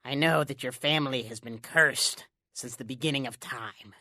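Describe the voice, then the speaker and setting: Woman acting as small thin male stranger, sly tempter, insinuating, sharp bright tone, dry audio drama sound. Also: very deep voice, no echo, exaggerated voice. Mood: strained, coaxing. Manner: insinuating, coaxing, dramatic pauses.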